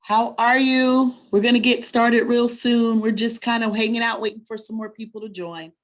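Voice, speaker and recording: A woman's voice: a little high, steady tone, normal speed. A woman hosting a webinar, chatting informally as she welcomes listeners and puts simple questions to her co-host. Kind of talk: casual welcoming chat with audience and a child.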